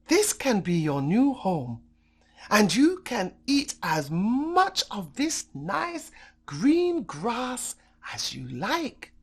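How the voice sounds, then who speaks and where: Clever storybook spider played by a woman, bossy, helpful, very slow pace, husky edge. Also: a little high, big pitch swings, exaggerated voice. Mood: kindly.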